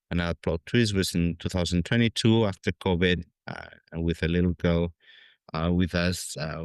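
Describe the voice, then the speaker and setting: A man's voice: low-pitched, smooth, no room sound. A man in his fifties from Spain with a Spanish accent, answering interview questions in a hesitant way with many fillers and restarts. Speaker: guest scientist. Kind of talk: conversational interview.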